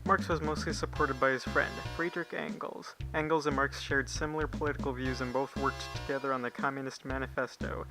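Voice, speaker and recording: A man's voice: mid-range voice, steady tone, a little fast, few pauses, music in the background. A man reading scripted explainer narration for a puppet show.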